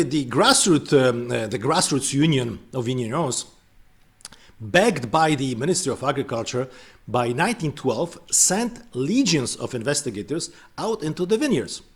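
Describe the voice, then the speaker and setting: A man's voice: medium pitch, husky. A man hosting and narrating a scripted explainer, breaking off into hesitant, filler-heavy asides. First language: not French. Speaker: host.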